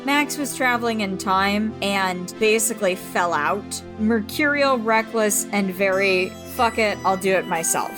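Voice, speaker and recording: A woman's voice: mid-range voice, unhurried, hardly any pauses. A woman describing her character as a player in an actual-play role-playing game. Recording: clear music behind the voice.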